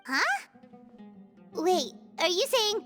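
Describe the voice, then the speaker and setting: A woman voicing a small, excitable fairy companion in an anime-style game, with a squeaky childlike voice. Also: heavily acted. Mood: startled.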